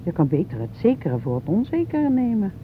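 Fussy, lilting older spouse. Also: male voice, wildly swooping pitch, a little fast. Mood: coaxing, anxious. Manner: fussy, coaxing. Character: fussy, anxious older spouse travelling by car. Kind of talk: naturalistic radio drama acting. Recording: tinny, noisy recording with vehicle rumble.

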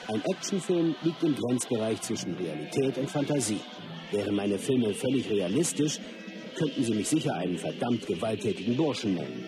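Actor as earnest martial arts star over music. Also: male voice, medium pitch, natural voice. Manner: earnest, deliberate, self-justifying. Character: self-assured male martial artist film star, earnest and proud. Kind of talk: scripted monologue in a radio play. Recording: music behind the voice.

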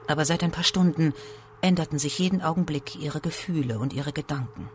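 A woman's voice: sits low, brisk, soft background music. A woman delivering scripted literary narration in a radio play.

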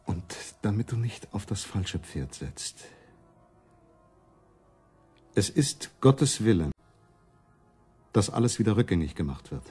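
An actor playing a defiant, self-important religious reformer in a German radio play, with an emphatic, declamatory delivery. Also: male voice, fairly low pitch, very animated, measured pace, a little mellow, breathy edge, soft background music, exaggerated voice. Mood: solemn, calculating. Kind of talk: German radio drama acting.

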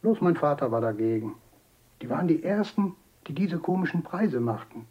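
Husky, blunt old butcher. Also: male voice, a little high, expressive, moderate pace, highs cut off. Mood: blunt, matter-of-fact. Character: elderly butcher, plain-spoken eyewitness with blunt opinions. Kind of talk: documentary radio drama, interview testimony.

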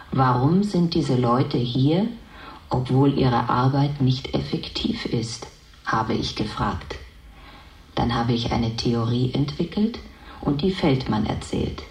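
A woman playing a calm, all-knowing computer in a radio drama, speaking in a measured, matter-of-fact way and repeating herself to correct phrases. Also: a bass voice, heavily acted. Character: calm, all-knowing female station computer named Edith. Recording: echoing, reverberant radio play voice.

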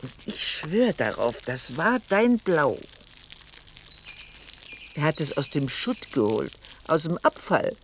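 An odd, crafty old woman in a radio drama. Her pitch moves in lively swoops as she spins a story with a knowing air. A faint noise sits behind the voice. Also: slow pace, long pauses, exaggerated voice. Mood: secretive, insistent. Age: older. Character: sly, odd old aunt, rambling herb gatherer.

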